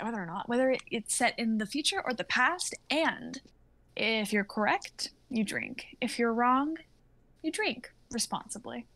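Higher-pitched young woman, dry close sound. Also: fairly bright tone, no echo. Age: 20s. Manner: halting, repeats phrases. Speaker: stream regular. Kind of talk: casual chat. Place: indoor room, group video call.

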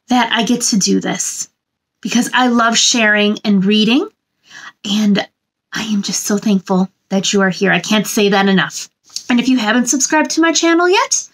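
Female children's read-aloud host, thirties, high voice, expressive and simple delivery for young viewers. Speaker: children's story time host. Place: small indoor room.

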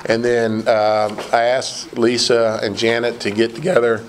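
A hoarse man chairing a committee meeting, talking haltingly with many fillers and restarts in a large meeting room. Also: mid-range voice, fairly even intonation, a little hiss or hum, rough recording.

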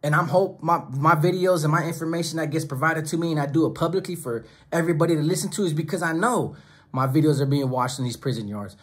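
A man's voice: a little high, fast, breathy edge. A middle-aged man hosting his own channel, talking straight to the camera from a small home studio in an emphatic, preachy way, often stacking phrases in lists. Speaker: YouTube channel host. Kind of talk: direct-to-camera commentary. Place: small home studio room with monitors and speakers. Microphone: close camera, seated at desk.

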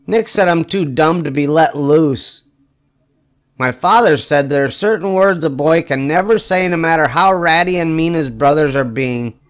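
Older man reading a storybook, slightly high voice. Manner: emphatic, with drawn-out wry punchlines. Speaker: storybook reader. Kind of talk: expressive read-aloud of a children's picture book.

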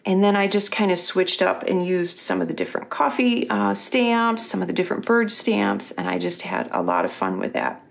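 A middle-aged woman, a little husky and losing her voice, chatting casually as she walks through her planner pages. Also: medium pitch, big pitch swings, moderate pace, few pauses. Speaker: craft company host. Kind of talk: casual craft tutorial voice-over.

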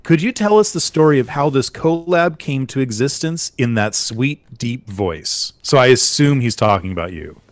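A man's voice: medium pitch, expressive, moderate pace, few pauses, no room sound. A man chatting casually while playing a game with a friend, joking and trailing off with filler words. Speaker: gaming channel host. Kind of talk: casual co-op gameplay chat.

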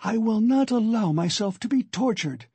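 Guy doing an angry proud suspect, agitated and demanding, voice pushed up high and husky, audiobook drama. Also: animated, moderate pace, a little mellow, no echo, exaggerated voice. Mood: defiant fury. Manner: imperious, insistent, agitated. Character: haughty, furious, tormented male suspect under questioning. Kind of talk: dramatic audiobook character reading.

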